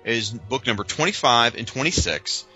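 A man's voice: medium pitch, animated, moderate pace, pushed and bright, highs cut off, soft background music. A man co-hosting a podcast, talking in an informal, opinionated, chatty way as he discusses things from loose notes. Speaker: podcast co-host.

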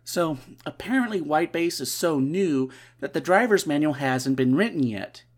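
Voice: mid-range voice, lively intonation, moderate pace. A podcast host with a stuffy, nasal voice, recapping a story in a casual way with wry, sarcastic asides. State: stuffy nose.

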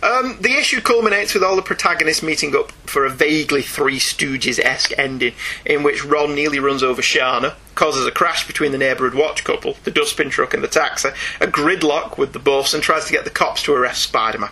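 A man's voice: a little high, brisk, bright, forceful tone, slight background noise. A British man hosting a comics podcast, moving between scripted review and casual, wry, articulate banter.

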